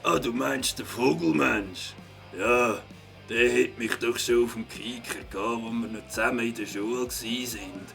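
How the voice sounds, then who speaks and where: Gruff, defiant pub-going rogue played by a man for a kids' radio play: Swiss German, gravelly, unhurried, mock-innocent and dismissive. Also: mid-range voice, a bit bright, soft background music. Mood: scoffing. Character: gruff, defiant litterbug villain, adult male pub regular. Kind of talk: children's audio drama acting.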